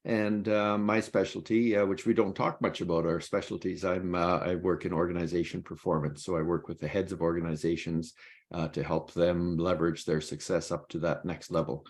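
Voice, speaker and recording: A man introducing himself as past chair on a video call, in a relaxed, matter-of-fact way. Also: deep voice, fairly quick.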